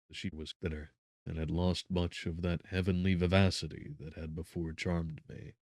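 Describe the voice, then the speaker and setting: Very deep-voiced man, solemn dramatic narrator. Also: dry recording, clean studio sound. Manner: solemn, theatrical, measured with emphatic swells. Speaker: audiobook narrator. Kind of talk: dramatic scripted narration of a gothic novel.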